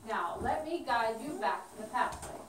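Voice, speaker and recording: A woman's voice: on the high side, lively intonation, moderate pace, lightly acted. A woman voicing small helpful woodland animals in a puppet show, earnest and instructive. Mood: firm, guiding. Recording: echoey room, distant mic, faint noise.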